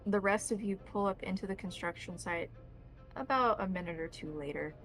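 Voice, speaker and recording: A woman's voice: medium pitch, a little fast, a bit bright, soft background music, poor audio. A woman narrating a tabletop roleplaying game as the game master, describing scenes to players.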